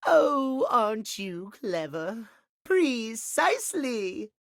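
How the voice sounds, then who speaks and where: Prim gentleman, very animated. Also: very high-pitched, extremely slow, gravelly, no room sound, full character voice. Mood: lecturing. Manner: posh, precise, theatrical lecturing. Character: prim, fussy gentleman timekeeper obsessed with punctuality. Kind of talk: video game character acting.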